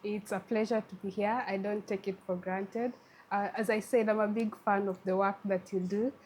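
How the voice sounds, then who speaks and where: A woman's voice: medium pitch, fairly even intonation, normal speed, darkish tone, a little hiss or hum. A woman from Kenya with a Kenyan accent, a tech community lead talking as a guest in a friendly, conversational way, with frequent restarts. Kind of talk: conversational interview.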